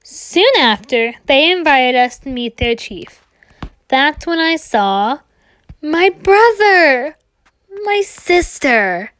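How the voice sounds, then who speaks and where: A woman reading a children's picture book aloud in an expressive storytelling style, drawing out words for emphasis. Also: high-pitched, slow pace, rough recording.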